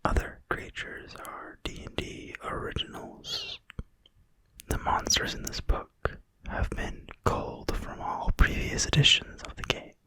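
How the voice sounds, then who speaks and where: Asmr reader whispering super slow, breathy and quiet, crisp sharp hiss on the words. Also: dry recording, rough recording. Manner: quiet, slow, deliberate phrasing. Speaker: ASMR video host and reader. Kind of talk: whispered ASMR reading of a game manual.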